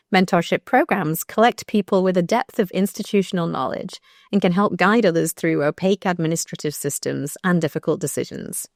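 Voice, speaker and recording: A woman with a croaky voice reading her own blog post aloud, measured and thoughtful with brief restarts. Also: lowish voice, no echo, clean studio sound. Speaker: researcher narrating her blog.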